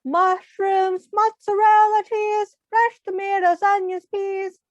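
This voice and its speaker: A woman voicing an eager, hurried young animal boy in a children's storybook, with exclaiming, sing-song delivery. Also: very high voice, little pitch movement, voice pushed up. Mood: urgent. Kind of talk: children's storybook read-aloud. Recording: muffled, roomy echo.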